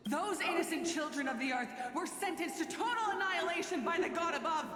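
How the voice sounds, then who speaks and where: An actress playing a proud, defiant warrior heroine in a video game, with a declamatory, commanding and scornful delivery. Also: female voice, extremely high pitch, brisk. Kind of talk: dramatic video game voice acting. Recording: echoey hall reverb under loud music.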